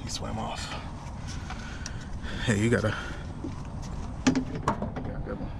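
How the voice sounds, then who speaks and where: A man chatting casually and excitably as he fishes outdoors from a boat, using slangy exclamations. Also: lowish voice, slow pace, creaky. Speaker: angler in a fishing video. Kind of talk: casual fishing chat. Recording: muffled audio, loud wind and boat noise.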